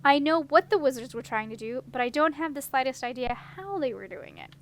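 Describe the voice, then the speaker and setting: A woman plays an outspoken young princess from a storybook. Her voice is high and eager, excitable yet earnest, with faint noise behind it. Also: a little fast.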